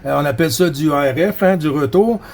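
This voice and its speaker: A man's voice: on the high side, fairly quick, vocal fry, darkish tone. A man with a Quebec French accent chatting casually as a CB radio operator, friendly and rambling. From Quebec, Canada. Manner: friendly, rambling, frequent Quebec filler words.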